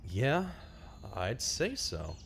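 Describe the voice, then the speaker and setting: Man playing an earnest, animated radio-drama journalist. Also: mid-range voice, very slow, vocal fry, a little hiss or hum, exaggerated voice. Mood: subdued, sincere. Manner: dramatic, confiding in the listener. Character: earnest small-town investigative journalist narrating a case. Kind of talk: vintage-style radio drama narration. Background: prominent instrumental music.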